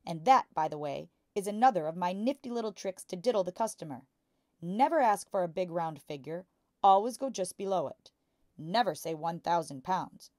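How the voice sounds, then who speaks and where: A woman voicing a boastful, bullying father who deals in crooked cars, in a loud, hectoring manner for a storybook. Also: lowish voice, lively intonation, moderate pace, no echo, studio quality, close to an everyday voice.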